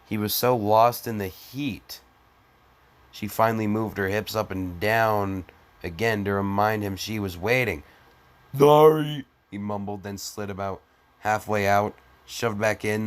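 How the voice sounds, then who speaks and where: A man giving a mocking dramatic reading, breaking off into crude, exasperated, sarcastic commentary. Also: fairly low pitch. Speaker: comedic fan fiction reader.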